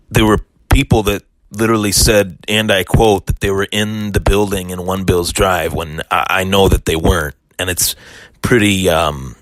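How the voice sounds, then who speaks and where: A man with a hoarse voice hosting a solo sports podcast, talking in an aggrieved, defensive way with lots of restarts. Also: low voice, a bit bright, no echo.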